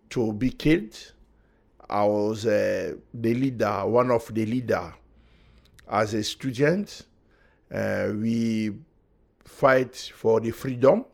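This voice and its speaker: A man, speaking as a podcast guest in halting, earnest English. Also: lowish voice, creaky. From Democratic Republic of Congo. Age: 40s. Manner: halting non-native English in short earnest phrases. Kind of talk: conversational interview, personal testimony.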